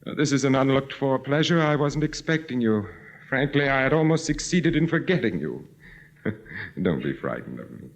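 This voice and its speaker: An actor playing an arrogant, tormented aristocratic husband in a 1940s-style radio drama, with formal, mocking, theatrical diction. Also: male voice, mid-range voice, sing-song, normal speed, gravelly. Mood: sardonic, brooding. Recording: dull, muffled old radio recording.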